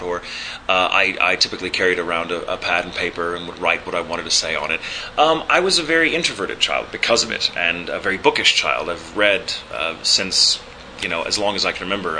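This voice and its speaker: A man's voice: low voice, pushed and bright, a little hiss or hum, rough recording. A man from Texas with a neutral American accent tinged with a proper British lilt, speaking articulately and thoughtfully in an interview, with frequent fillers. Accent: neutral American with a slight proper British lilt. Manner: articulate, measured, with frequent uh fillers and small restarts. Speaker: voice actor and ADR director. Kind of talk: reflective interview storytelling.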